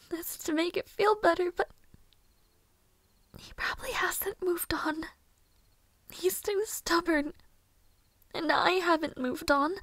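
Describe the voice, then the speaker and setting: A woman playing a sorrowful, lovelorn young maid in an audio roleplay monologue, soft and breathy, often whispering, with hesitant pauses. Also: extremely high pitch, dry recording. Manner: hesitant, slow, emotional pauses.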